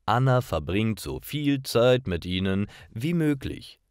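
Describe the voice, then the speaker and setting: Guy reading simple stories for learners, really slow, voice swings up and down, super dry sound. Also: mid-range voice, lively intonation, clear, resonant tone, dry recording. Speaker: narrator of German learning stories. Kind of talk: scripted story narration for language learners.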